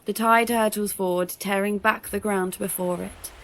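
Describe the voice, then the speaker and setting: A woman's voice: mid-range voice, steady tone, moderate pace, fairly bright tone. Female reader for poetry recitation, middle register.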